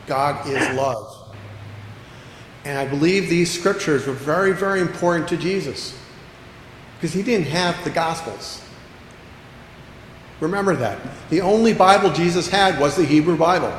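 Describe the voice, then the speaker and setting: Male pastor giving a sermon, kinda high voice, slow earnest emphasis, echoey church with faint noise. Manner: earnest, with repeated emphatic phrases and slow deliberate stresses. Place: church sanctuary. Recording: echoey church hall with long reverb, faint background noise.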